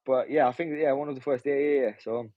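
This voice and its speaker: A man's voice: medium pitch, steady tone, fast talker, no echo. A Romanian-born man in his twenties, a pro boxer, answering casually with frequent hesitations and "do you know". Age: 20s. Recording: dull muffled audio.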